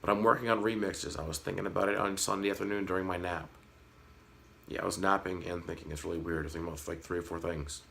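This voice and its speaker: A man in his thirties with a slightly hoarse voice, talking frankly and earnestly to his viewers in a casual vlog, with a light sarcastic edge. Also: low-pitched, flat delivery, fast talker, sharp tone, slight background noise. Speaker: fish-keeping vlogger. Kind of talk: casual talk to camera.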